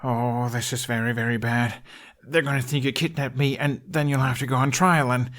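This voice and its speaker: A man's voice: medium pitch, fairly even intonation, moderate pace, a bit bright, pitched above the performer's own voice, natural voice. Man playing a nervous, sarcastic young runaway royal. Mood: flustered, panicked. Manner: hesitant, flustered, dry.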